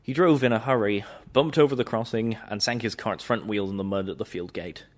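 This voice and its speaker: A man narrating a children's railway story in a steady, expressive read-aloud style. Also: fairly low pitch, a little fast.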